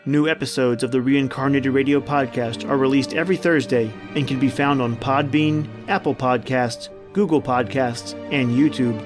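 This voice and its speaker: A man's voice: medium pitch, steady tone, moderate pace, hardly any pauses. A man hosting a podcast, reading a scripted sign-off. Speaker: podcast host. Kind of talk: scripted outro narration. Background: prominent music.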